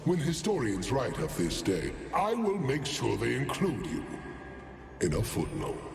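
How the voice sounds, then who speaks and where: A man voicing a vain, condescending ancient dragon in a video game, with a grandiose, deliberate, theatrical delivery. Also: medium pitch, wildly swooping pitch, creaky, heavily acted. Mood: smug. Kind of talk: video game character voice lines. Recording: long cavernous reverb, music underneath.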